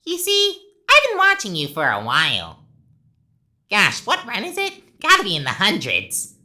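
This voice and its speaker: A woman's voice: mid-range voice, huge pitch swings, measured pace, pushed and bright, exaggerated voice. A woman voicing a sadistic, childishly gleeful talking flower villain in a video game, taunting theatrically and swinging from sweet to menacing. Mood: smug menace.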